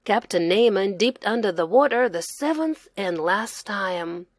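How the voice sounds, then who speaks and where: A woman reading a children's story aloud as a narrator, in a deliberate, expressive way. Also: medium pitch, no echo, clean studio sound. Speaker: storybook reader.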